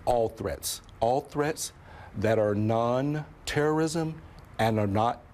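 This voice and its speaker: A man speaking as a federal law enforcement official in a news interview, deliberate and formal. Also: mid-range voice, a little mellow. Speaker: FBI official. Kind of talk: interview soundbite.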